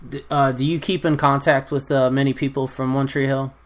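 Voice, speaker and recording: A man hosting a podcast, asking questions in a halting, casual way with "uh" pauses. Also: medium pitch, flat delivery. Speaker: podcast host. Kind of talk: casual interview chat.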